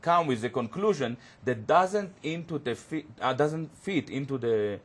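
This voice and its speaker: Male panel guest, Hebrew native, halting delivery full of fillers, studio setting. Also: medium pitch, lively intonation, husky. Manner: halting, searching for words, many fillers. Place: community TV studio.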